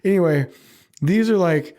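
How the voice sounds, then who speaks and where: A man's voice: high voice, moderate pace, dark tone. A man hosting his own podcast alone from a small backyard shed, talking in a giddy, rambling way and laughing often, his voice just recovered. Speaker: podcast host. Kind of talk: casual solo podcast talk.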